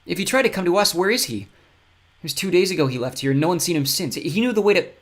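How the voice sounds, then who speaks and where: A man's voice: high-pitched, lively intonation, fast, pitched above the performer's own voice. A man voicing Kira, an earnest young woman.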